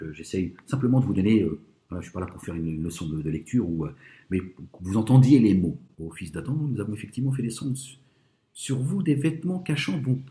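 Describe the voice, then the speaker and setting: A man with a low, warm voice argues over scripture with lively rises and falls in pitch. He reads verses slowly in French and Arabic and keeps checking in with "d'accord?". The room around him is echoey. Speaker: religious commentator. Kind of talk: speaking to an audience about scripture.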